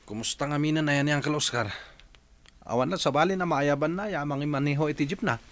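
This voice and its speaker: A man's voice: a little high, fast talker, dry recording, lightly acted. A man playing a heartbroken, hard-drinking young jeepney driver in a radio drama, with a Filipino accent. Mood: casual.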